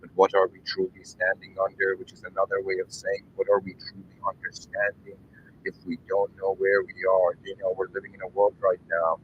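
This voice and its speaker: A man in his thirties giving a reflective, rambling philosophical monologue, full of fillers and restarts, often clearing his throat and speaking straight to his listeners. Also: low-pitched, monotone, a little fast, lo-fi sound, a little hiss or hum. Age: 30s. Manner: earnest, addresses listeners directly. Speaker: channel host.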